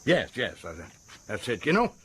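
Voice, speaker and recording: An actor playing a folksy, self-important American prison governor in a radio drama, chatty and stammering with excitement. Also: male voice, mid-range voice, normal speed, husky, heavily acted. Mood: chatty, boastful.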